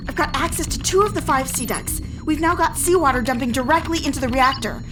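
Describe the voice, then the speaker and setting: An actress playing a capable, plainspoken engineering deputy in a sci-fi audio drama, delivering technical lines matter-of-factly. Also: female voice, high voice, fast, over music, lightly acted, rough recording. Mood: urgent.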